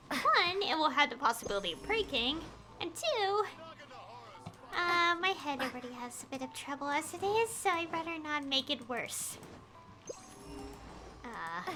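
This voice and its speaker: Woman, very high lively voice, casual rambling livestream chat, music faintly behind. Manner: rambling, conversational. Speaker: game streamer.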